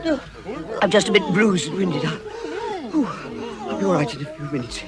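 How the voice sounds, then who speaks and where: An actor playing a timid, polite hobbit who stammers anxiously, in a radio drama. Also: male voice, high voice, big pitch swings, moderate pace, a loud crowd. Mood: shaken.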